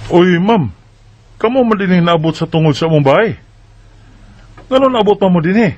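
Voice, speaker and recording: A man's voice: sits high, expressive, moderate pace, a little mellow, dull audio. A man with a Bisaya accent playing an indignant young farm son in a radio drama, protesting emphatically. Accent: Filipino Bisaya. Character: indignant grown son of a rural landowning family.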